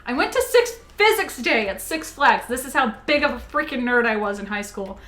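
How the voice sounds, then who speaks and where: A young woman chatting casually on a stream, reading out viewer messages and telling animated stories with fillers and giggles. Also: fairly high pitch, normal speed. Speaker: stream co-host. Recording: faint music behind.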